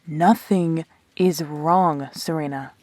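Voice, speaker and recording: A woman's voice: low-pitched, takes it slowly, mellow. A woman playing a guarded, defensive, brooding immortal in an intimate sci-fi audio drama, terse and hesitant. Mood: defensive, irritated.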